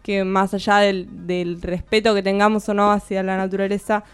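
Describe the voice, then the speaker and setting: A young woman from Bariloche speaks, calm and thoughtful as she explains her book. Her voice is smooth and clean, and the studio sound is dry and close, with faint music underneath. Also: medium pitch, moderate pace, no echo. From Bariloche, Argentina. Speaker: guest author. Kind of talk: radio studio chat. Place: radio studio.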